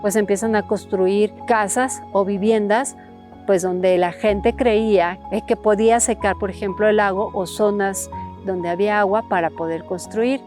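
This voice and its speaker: A woman's voice: mid-range voice, fairly even intonation, measured pace, a little mellow, faint music behind. A woman farmer with a Mexico City accent, talking in a documentary interview.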